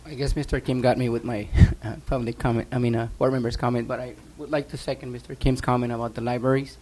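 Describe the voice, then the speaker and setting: A man's voice: mid-range voice, flat delivery, fast talker, a little mellow. A man who is a school board member, giving earnest remarks at a meeting with frequent fillers.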